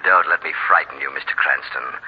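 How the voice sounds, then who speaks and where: A man's voice: rumbling low, fairly quick, slightly rough, exaggerated voice. A man playing a sinister, mocking vigilante impostor in 1940s radio drama, menacing, taunting and theatrical. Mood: mocking menace. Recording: muffled, dull 1940s radio recording.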